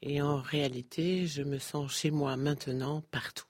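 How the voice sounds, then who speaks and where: A woman giving a steady voice-over translation of a guest's answers. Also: deep voice, moderate pace, breathy edge. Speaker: interpreter.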